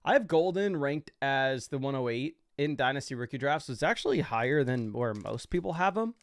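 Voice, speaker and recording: A man's voice: medium pitch, lively intonation, moderate pace, no room sound. A young man hosting a fantasy football livestream, talking loosely and casually with lots of fillers as he explains his picks.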